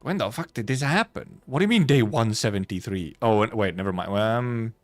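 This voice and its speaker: A man's voice: fairly low pitch, lively intonation, fairly quick, faint music behind. A man doing casual live game commentary as a streamer, rambling and correcting himself as he goes. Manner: rambling, loosely self-correcting, casual swearing.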